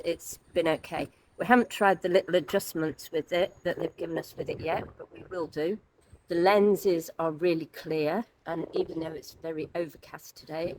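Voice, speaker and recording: A woman's voice: lowish voice, slight background noise, low-quality recording. A woman giving a candid, practical review in a casual, conversational style as a co-host. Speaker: vlog co-host and product reviewer.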